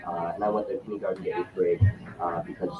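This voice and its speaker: A young man from Michigan, a podcast guest at home, talking in a measured, thoughtful way with frequent pauses. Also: low voice, level tone, phone-quality sound, music in the background. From Lansing, Michigan, US. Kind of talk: casual interview talk.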